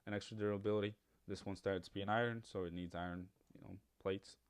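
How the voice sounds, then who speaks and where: A man's voice: fairly low pitch, fairly even intonation, a little fast, clear, resonant tone. Casual rambling man, Spanish native speaker. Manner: rambling, many fillers and restarts. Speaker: gaming YouTuber. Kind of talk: casual game commentary.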